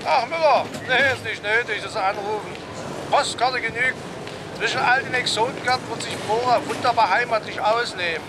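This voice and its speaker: An actor playing a curt, sarcastic middle-aged police chief in a radio drama. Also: male voice, very high-pitched, moderate pace, noisy background. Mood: dismissive. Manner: curt, ironic, commanding.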